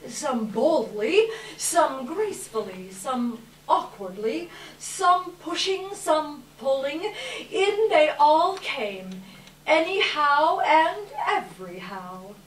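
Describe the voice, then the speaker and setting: Female narrator, unhurried expressive readers theater delivery, roomy far-off recording with faint noise. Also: sits high. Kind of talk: dramatic scripted storytelling, live stage reading. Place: live event room, distant microphone.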